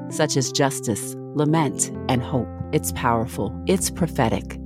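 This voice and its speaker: A woman reading a scripted podcast advertisement as an announcer. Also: a bass voice. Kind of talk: scripted ad read. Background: guitar music.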